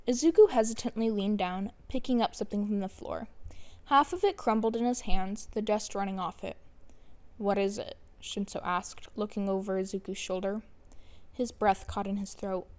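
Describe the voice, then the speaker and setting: A woman's voice: mid-range voice, moderate pace, darkish tone. A woman narrating a scripted fan fiction story with dramatic storytelling. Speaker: podfic narrator.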